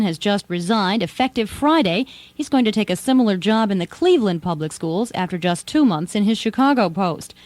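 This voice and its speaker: Woman, American accent, news anchor, scripted delivery, mid-range pitch, close dry radio sound. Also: a little mellow, no room sound. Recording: 1980 radio broadcast aircheck.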